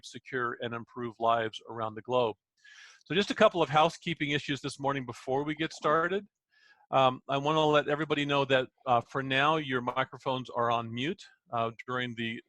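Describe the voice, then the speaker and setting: A man's voice: mid-range voice, bright, forceful tone, no echo. A middle-aged man hosting a webinar over a video call, businesslike and given to repeating words as he restarts. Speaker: host.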